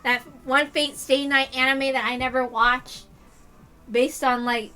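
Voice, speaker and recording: A woman's voice: high voice, flat delivery, unhurried, bright, forceful tone, soft background music. A woman who reads visual novels aloud, dropping into a casual aside in her own voice. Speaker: reader of a visual novel on a channel.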